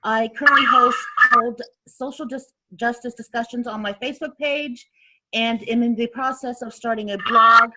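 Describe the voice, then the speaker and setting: A woman introducing herself on a webinar, with hesitant pauses and fillers. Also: medium pitch, heavy background noise. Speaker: panelist.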